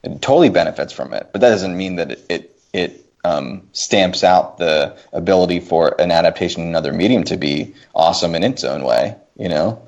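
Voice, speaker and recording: Male podcast guest, low-pitched, emphatic and halting, opinionated conversational delivery, slightly muffled lo-fi recording. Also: expressive, a little mellow. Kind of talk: casual opinionated discussion. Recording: dull lo-fi podcast audio.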